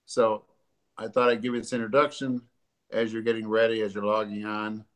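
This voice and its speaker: Congested older man, halting. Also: on the low side, level tone. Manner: halting, many ums and uhs. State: congested from allergies. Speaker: doctor hosting a live stream. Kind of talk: casual educational talk. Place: living room at home, sitting on a sofa. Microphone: webcam or laptop camera at desk distance.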